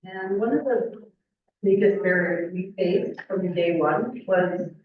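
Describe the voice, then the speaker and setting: A woman's voice: on the low side, slow pace. A woman in the audience commenting informally from her own work experience. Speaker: audience member, former anti-trafficking unit worker. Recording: muffled, echoey room, far from mic.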